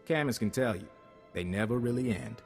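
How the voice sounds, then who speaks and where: A man's voice: medium pitch, a little fast, vocal fry, faint music behind, lightly acted. Wry, friendly male agent actor, reverberant. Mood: friendly, relieved. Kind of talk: video game voice acting.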